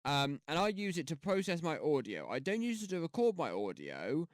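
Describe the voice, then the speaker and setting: A young-sounding man chats his way through a software tutorial, rambling with fillers and restarts. His pitch sits a little high and swings widely. He talks into a headset mic with a dry, close sound. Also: wildly swooping pitch, normal speed, no room sound. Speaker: YouTube tutorial host. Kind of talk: casual screen-recorded tutorial. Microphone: gaming headset mic.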